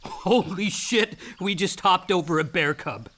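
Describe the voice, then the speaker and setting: An actor playing an outdoorsy, slightly self-doubting grown son with a casual, effusive manner in a naturalistic radio drama. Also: male voice, high-pitched, a little slow, bright, forceful tone, slight background noise. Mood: exhilarated. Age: young adult.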